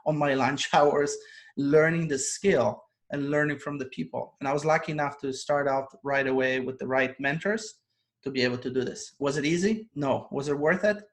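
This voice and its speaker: Man telling his story. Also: mid-range voice. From Poland. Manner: earnest storytelling, laughs often. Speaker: guest, online business coach. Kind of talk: casual interview over video call. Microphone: video call.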